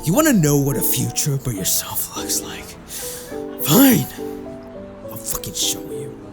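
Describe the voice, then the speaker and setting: A sardonic, foul-mouthed exorcist played by a man speaks in a gravelly, fairly high voice that swings wildly up and down. He takes his time, dramatic and sarcastic, over prominent music in an audiobook drama. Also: huge pitch swings, measured pace, exaggerated voice. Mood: exasperated, angry. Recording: background music.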